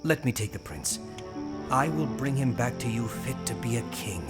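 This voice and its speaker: An actor playing a loyal knight and royal counsellor in an audio drama, speaking formally and persuasively. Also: male voice, mid-range voice, expressive, normal speed, over loud music, close to an everyday voice. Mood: earnest. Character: loyal, earnest, firm knight counselling his king.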